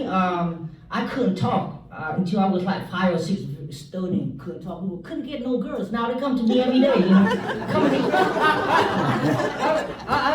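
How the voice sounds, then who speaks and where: A man from South Vietnam, giving a halting church testimony full of fillers and joking asides. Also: very high voice, heavy background noise. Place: church hall. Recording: echoey hall, mic from far off.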